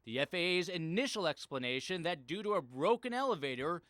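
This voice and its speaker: A man's voice: fairly high pitch, lively intonation, fairly quick, a bit bright, dry recording. A man narrating a scripted investigative television news report as a reporter.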